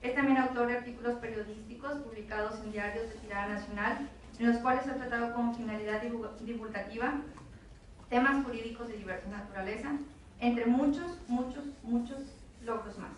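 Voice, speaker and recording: A woman moderator reads a formal introduction of a guest speaker. Her fairly high voice echoes strongly in a large hall. She is heard from a distance with faint background noise. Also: fairly quick. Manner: formal, reading a biography. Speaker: event moderator. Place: lecture hall.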